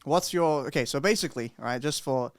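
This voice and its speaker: Young male podcast host, casual and joking, lots of swearing, dry close sound, mid-pitched voice. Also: brisk, no echo. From Romania. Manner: profane, joking, rambling. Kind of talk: casual chat.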